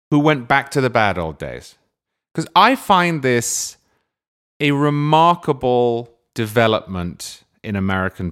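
A man hosting a podcast, asking long, discursive questions with measured pauses. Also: fairly low pitch, fairly bright tone, smooth. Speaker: podcast host. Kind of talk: long-form political interview.

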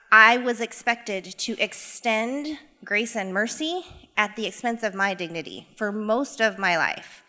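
A middle-aged woman from Idaho speaks to a live audience in a bright, clear-cut voice. She talks in an earnest, reflective way. A long reverb from the hall trails each phrase. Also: medium pitch. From Boise, Idaho, US. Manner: earnest, reflective, measured storytelling. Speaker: equity coach, guest speaker. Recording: echoey hall.

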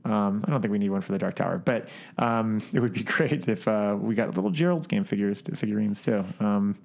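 A man co-hosting a podcast in casual chat, with a halting, rambling way of talking. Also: on the low side, creaky, a little mellow. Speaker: podcast co-host. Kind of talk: casual chat about books, films and collectibles.

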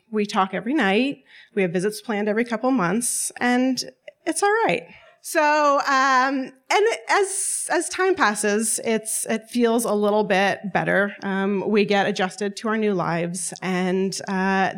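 A woman in her twenties telling a personal story to a live audience on stage, wry and often laughing as she talks. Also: mid-range voice. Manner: lively, wry, often laughing through lines.

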